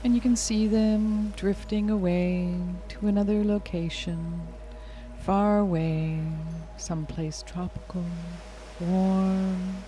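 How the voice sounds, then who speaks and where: A woman leading a hypnotic guided meditation in a soothing, unhurried, gently instructing way. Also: mid-range voice, fairly even intonation. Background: music clearly behind the voice.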